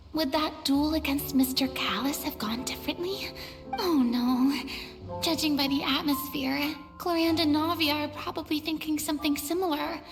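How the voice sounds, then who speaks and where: High squeaky childlike game companion. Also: female voice, long reverb tail. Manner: squeaky, expressive, naive. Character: small childlike floating companion, curious, chatty, excitable. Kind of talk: video game voice acting.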